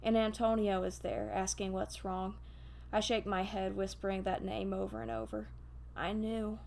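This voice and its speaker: A woman voicing a gentle, grieving male guardian angel who tells a story in a wistful, intimate way. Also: mid-range voice, flat delivery, moderate pace, creaky, slight background noise, natural voice.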